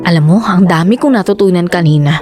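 A woman's voice: fairly low pitch, quick pace, music in the background, close to an everyday voice. A Filipino woman playing an eager, practical fisherman's wife in a radio drama, talking in a conversational, persuasive way.

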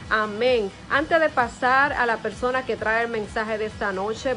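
A woman's voice: on the high side, a bit bright. A woman in her thirties hosting a church service in Spanish, devoutly welcoming and praying with repeated invocations, recorded on a phone indoors. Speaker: service host introducing the preacher. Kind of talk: welcome, scripture reading and prayer. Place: small room at home, indoors. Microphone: phone at arm's length. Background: music.

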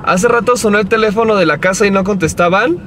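A young Mexican man chatting casually to his vlog in a playful, animated storytelling way while driving. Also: high voice, fast talker. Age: young adult. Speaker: vlogger. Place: inside a moving car. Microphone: camera mounted on the dashboard. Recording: car cabin with clear road noise.

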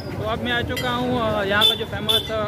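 A young man giving a casual walking vlog in a halting, repetitive way, recorded on a phone at arm's length. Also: sits high, level tone, a loud crowd. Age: young adult. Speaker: vlogger. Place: busy outdoor street and crowded covered market. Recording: loud street and market noise.

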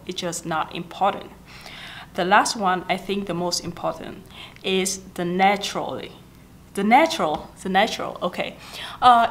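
A woman's voice: mid-range voice, fairly bright tone. Young Vietnamese-accented woman giving tips. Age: 20s. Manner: chatty, gestures often, frequent fillers and self-corrections. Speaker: vlogger giving language-learning tips. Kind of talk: casual talking-head advice. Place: small indoor office with a plant.

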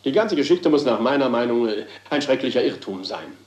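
Man playing effusive theatre director, very animated. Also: mid-range voice, fairly quick, natural voice. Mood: agitated. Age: middle-aged. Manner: theatrical, gallant, flowery. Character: effusive middle-aged male theatre troupe director, courtly and placating. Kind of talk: German radio crime drama acting. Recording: roomy, reverberant radio play sound.

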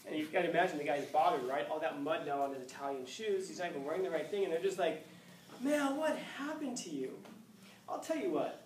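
A man in his fifties, a high school teacher lecturing a class, acting out scenes with playful voices. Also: animated, brisk, a little hiss or hum. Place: classroom, indoors. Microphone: far camera. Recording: echoey classroom from far off.

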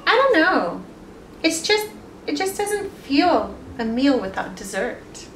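A woman's voice: high-pitched, sing-song, takes it slowly, fairly bright tone, full character voice. A woman voicing a hungry, needy bear from a children's picture book, hesitant and making excuses. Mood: reluctant. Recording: echoey room, distant mic, some noise.

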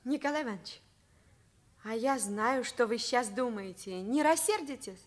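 A woman's voice: a little high, lively intonation, normal speed. An actress playing an outspoken, cheeky teenage schoolgirl in a Soviet radio drama, candid and argumentative.

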